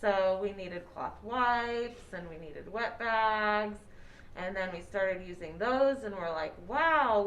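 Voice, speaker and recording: Slow-paced woman telling her story casually. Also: medium pitch, rough recording. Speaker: business founder, interview guest.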